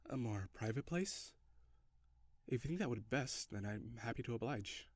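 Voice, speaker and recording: A man's voice: medium pitch, lively intonation, moderate pace, dry recording. A man playing a curious, reserved alien in an intimate audio roleplay, speaking in stiff, formal, precise phrasing. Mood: polite, curious. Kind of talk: intimate scripted audio roleplay addressed to the listener.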